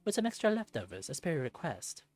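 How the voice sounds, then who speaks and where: A man's voice: on the high side, wildly swooping pitch, fast, dry recording, voice pushed up. A man voicing a confident, teasing tigress in a visual novel, with a light raised voice and breezy, sassy manner. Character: brisk, teasing tigress, confident female teammate.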